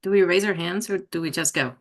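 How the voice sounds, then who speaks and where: A woman art therapist speaking on a panel, slow and thoughtful, with "like" and "you know" fillers. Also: lowish voice. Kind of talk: panel discussion. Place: university event room.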